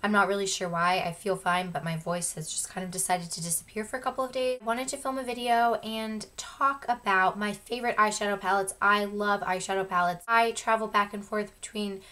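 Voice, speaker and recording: Young woman with a croaky lost voice. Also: medium pitch, fairly even intonation, a little fast, hardly any pauses, bright, forceful tone. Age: young adult. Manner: enthusiastic, gushing about favorites. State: hoarse, croaky voice. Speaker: beauty vlogger. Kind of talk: casual product review. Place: bedroom at home. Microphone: camera in front at close range.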